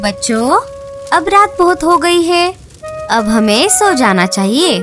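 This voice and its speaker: A woman plays a gentle mother bird in a cartoon, chatty and coaxing, with a high voice and slow delivery. Loud music plays behind. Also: big pitch swings, heavily acted.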